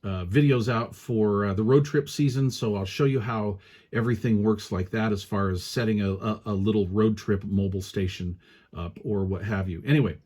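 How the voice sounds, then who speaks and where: A man's voice: fairly low pitch, fairly even intonation, normal speed, a little mellow. A man talking informally and colloquially as he hosts a casual hands-on product review. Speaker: product reviewer, channel host.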